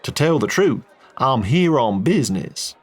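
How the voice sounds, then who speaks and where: A man's voice: medium pitch, very animated, measured pace, husky, pitched above the performer's own voice. A man voicing a blunt, boastful middle-aged oil tycoon. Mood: gruff, folksy. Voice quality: folksy drawl, tobacco-spitting. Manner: loud, plain-spoken, boastful.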